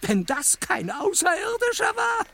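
A man playing a tiny, scrawny, eccentric man in a German radio play, paranoid about aliens, excitable, emphatic and cackling. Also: extremely high pitch, unhurried, creaky, exaggerated voice. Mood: insistent, conspiratorial.

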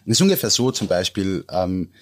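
A man with an Austrian accent explaining as an expert guest in conversation, halting and searching for words yet emphatic. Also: low voice, big pitch swings. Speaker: interview guest, Japanologist and translator.

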